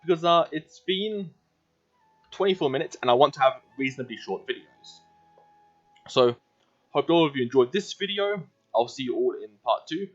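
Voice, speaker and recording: A man's voice: fairly high pitch, expressive, normal speed, lo-fi sound, faint music behind. A man giving casual let's-play commentary as the host of a gaming video.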